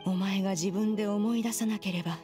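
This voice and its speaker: A woman plays a haughty immortal sage for a video game. She speaks with stern, archaic authority and measured phrasing at a medium pitch, with music behind her. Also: steady tone, close to an everyday voice. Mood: stern, composed. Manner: imperious, measured, archaic speech. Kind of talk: Japanese video game voice acting.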